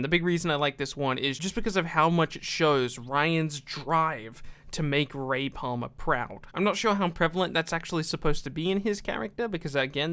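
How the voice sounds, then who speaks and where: A young man talks casually and enthusiastically, like a gaming YouTuber chatting to fans. His voice is slightly high for a man, very smooth and clear. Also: bright, forceful tone.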